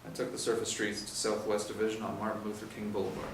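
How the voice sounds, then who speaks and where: A man's voice: on the low side, monotone, fast talker. A man reading first-person crime fiction aloud in a hard-boiled, dramatic way with emphatic swings. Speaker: reader of a novel chapter. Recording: roomy, distant mic, faint noise.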